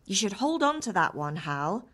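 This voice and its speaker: A woman's voice: medium pitch, normal speed. An actress playing a middle-aged village mother in a medieval game, who scolds and teases with affection. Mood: teasing, chiding. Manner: nagging, teasing, animated. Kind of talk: dramatic video game dialogue.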